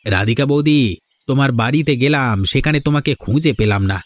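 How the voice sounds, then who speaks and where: A man's voice: mid-range voice, fast, no echo, lightly acted. A man voicing a landlord's sly, insolent errand man in a village cartoon, with a taunting, insinuating manner. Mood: needling. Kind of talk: cartoon voice acting. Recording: music behind the voice.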